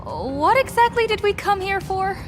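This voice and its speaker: A woman's voice: very high-pitched, big pitch swings, moderate pace, music in the background. A woman playing a curious, casual young female companion in a video game. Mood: questioning. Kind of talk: game voice acting.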